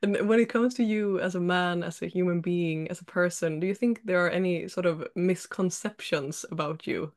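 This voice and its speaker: A woman hosting a podcast, asking gentle, measured questions in a casual interview over a video call. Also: on the low side. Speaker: podcast host.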